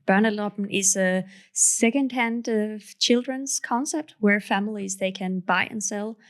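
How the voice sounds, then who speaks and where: A woman's voice: mid-range voice, a little slow. A woman from Finland with a Finnish accent, talking about her business as a podcast guest, hesitant with many fillers and restarts. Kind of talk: casual interview.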